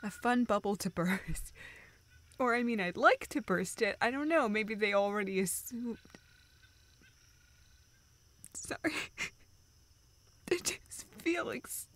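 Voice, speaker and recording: A woman playing a dejected, self-doubting young woman who confides haltingly in a friend, in an intimate, naturalistic audio roleplay. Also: on the high side, lively intonation, fairly bright tone. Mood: ashamed, upset. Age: young adult. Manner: halting, hesitant, self-deprecating.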